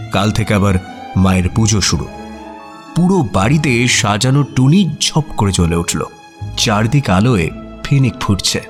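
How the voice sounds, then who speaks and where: An actor playing a detective's companion, narrating a mystery audio drama in expressive scripted storytelling. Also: male voice, lowish voice. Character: male detective's companion recounting the case as storyteller.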